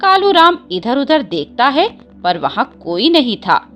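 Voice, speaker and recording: A woman's voice: high-pitched, sing-song, a little slow, fairly bright tone, highs cut off, faint music behind. A woman narrating a scripted devotional story as a storyteller.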